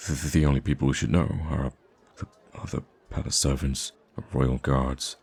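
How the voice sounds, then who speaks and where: Male actor as gentle wounded prince, ASMR roleplay. Bottomless bass, gravelly rasp, halting and wistful delivery. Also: little pitch movement, full character voice. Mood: concerned, shaken. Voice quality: very deep, gravelly, husky. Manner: hesitant, gentle, halting with sighs and stammers. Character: soft-spoken wounded young prince, wistful, gracious, secretly roaming a market.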